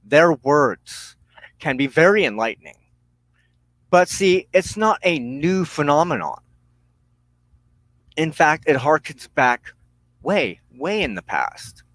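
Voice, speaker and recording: A man hosting a spiritual broadcast, giving an earnest, reflective monologue in short, halting phrases broken by pauses. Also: on the high side, sing-song, fairly bright tone, no room sound. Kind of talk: reflective spoken monologue on personal belief.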